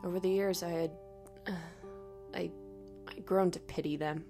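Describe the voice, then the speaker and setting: A woman narrating a scripted first-person horror story in a dramatic, expressive way. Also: lowish voice, normal speed, music in the background.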